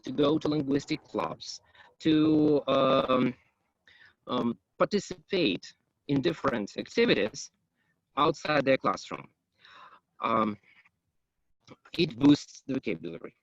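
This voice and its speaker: A man giving a conference talk as an English teacher, pausing often with ums and uhs. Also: mid-range voice, animated, gravelly, no room sound. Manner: hesitant, many ums and uhs. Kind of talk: conference presentation. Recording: dull, muffled, dry.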